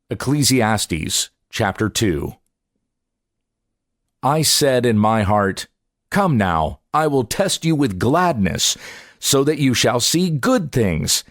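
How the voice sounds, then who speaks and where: A man reads scripture aloud like a pastor narrating an audiobook, in a measured, solemn, deliberate way. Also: medium pitch, no room sound, clean studio sound.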